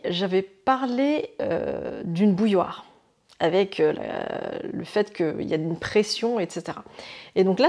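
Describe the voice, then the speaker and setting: A woman's voice: medium pitch, a little mellow. A French woman hosting her own astrology channel, talking casually to camera with many hesitations and restarts, using vivid images. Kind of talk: casual explanatory monologue to camera.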